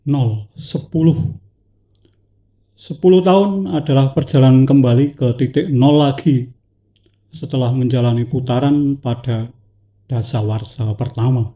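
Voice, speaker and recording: A man with a cough reading a poetic prepared talk to an audience, slow and measured, with dramatic pauses. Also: mid-range voice, steady tone, muffled recording. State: has a cough. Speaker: speaker at a talk event.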